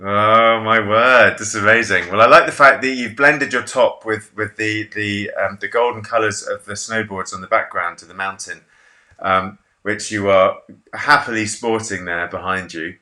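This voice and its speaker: A man's voice: lowish voice, steady tone, normal speed, pushed and bright. A man in his thirties hosting a casual video-call interview from a home office, chatty, jokey and self-deprecating. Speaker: interview host.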